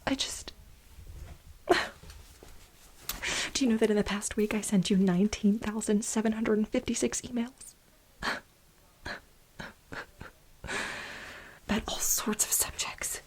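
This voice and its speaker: A woman playing an aggrieved, manic, overworked research assistant in a soft-spoken, whispered ASMR role play, with halting, dramatic complaints. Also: on the high side, exaggerated voice. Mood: exasperated, hurt. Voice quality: breathy whisper.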